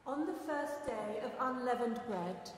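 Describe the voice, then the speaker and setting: A woman's voice: a little high, lively intonation, measured pace. A woman reading scripture as a narrator, in a solemn scripted style. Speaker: narrator of a dramatic Gospel reading. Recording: echoey church, long reverb, faint noise.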